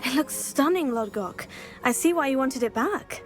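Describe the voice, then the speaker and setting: A woman's voice: high-pitched, expressive, normal speed, soft background music. An actress playing a composed, determined teenage witch student in a video game, polite and matter-of-fact. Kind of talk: video game cutscene acting.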